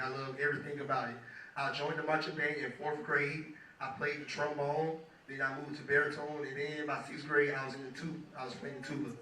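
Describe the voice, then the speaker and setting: A young man in his twenties speaks to a crowd, hoarse from days of talking, in a loose, chatty way. His voice is bright and a little high. It is heard from a distance in an echoey hall. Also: a little hiss or hum. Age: 20s. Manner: loose and chatty, keeps asking the crowd "you feel me". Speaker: guest speaker, music producer. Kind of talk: casual motivational talk to an audience.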